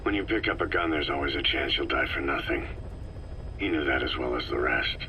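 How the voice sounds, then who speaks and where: A man playing a stoic, weary middle-aged soldier in a video game, gravelly and terse, with measured, somber delivery. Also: rumbling low. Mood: somber, reflective. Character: gruff, stoic, weary veteran soldier commander. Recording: noisy cassette tape recording.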